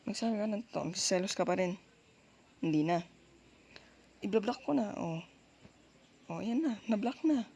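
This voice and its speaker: Soft, creaky-voiced Filipino woman soothing a partner. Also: mid-range voice, lively intonation, moderate pace, long pauses, lo-fi sound. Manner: soothing, coaxing, with frequent gentle check-ins. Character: soft dominant, reassuring, apologetic girlfriend comforting her partner. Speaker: roleplay ASMR performer. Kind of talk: intimate girlfriend roleplay ASMR in soft, close speech. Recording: faint background noise.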